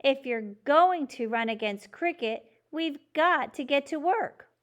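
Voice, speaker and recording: A woman's voice: sits high, lively intonation, measured pace, voice pushed up. A woman voicing an eager, outspoken schoolboy in a children's storybook, speaking in an emphatic, insistent way. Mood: urging. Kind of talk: children's storybook character reading.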